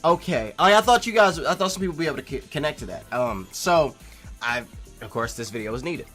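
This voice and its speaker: A man's voice: a little high, expressive, a little fast, fairly bright tone. Rambling, emphatic man vlogging over music. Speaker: YouTube vlogger. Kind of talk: casual opinionated commentary, replying to viewer comments.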